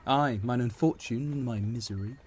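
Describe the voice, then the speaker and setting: A man acts a young gentleman in a Shakespearean audio play. He is lovelorn and wry, declaiming his lines at a middling pitch. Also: normal speed, slightly rough, dry recording, natural voice. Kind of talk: Shakespearean audio drama acting.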